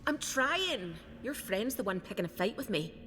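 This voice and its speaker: A woman's voice: on the high side, very animated, moderate pace, a bit bright, in a large hall, a little hiss or hum. A woman playing a blunt, embittered smuggler held prisoner in a video game. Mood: defiant, irritated. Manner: blunt, combative, sweary.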